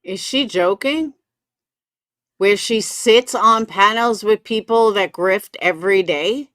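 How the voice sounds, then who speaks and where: A woman's voice: mid-range voice, slow, a bit bright, no echo, studio quality. A woman giving casual live commentary, blunt and gossipy, with plenty of swearing. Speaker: commentary streamer.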